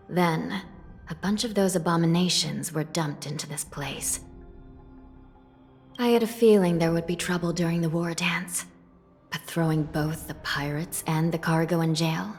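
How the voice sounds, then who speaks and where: A woman voicing a soft-spoken, wistful resident of the netherworld in a video game, with a measured, breathy, reflective delivery. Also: on the low side, natural voice. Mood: explaining. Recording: reverberant hall, game music behind.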